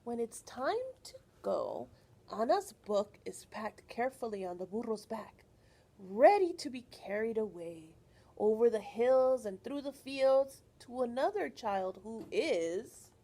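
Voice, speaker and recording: A woman reading a picture book aloud to children, expressive, pausing to ask questions and explain like a teacher. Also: fairly high pitch, breathy edge.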